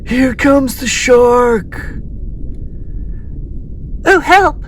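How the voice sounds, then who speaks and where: A man voicing small, terrified fish in a children's storybook, shrill and frantic. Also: very slow, slight background noise. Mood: alarmed. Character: small terrified fishes, squealing and panicked.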